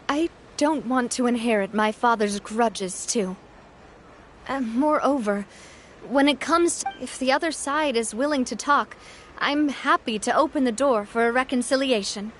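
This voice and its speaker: A woman's voice: on the high side, measured pace, a little hiss or hum, natural voice. A woman voicing a young woman who leads a group in a video game, firm, composed and sincere. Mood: thoughtful. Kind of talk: game voice acting. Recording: game audio with faint music behind.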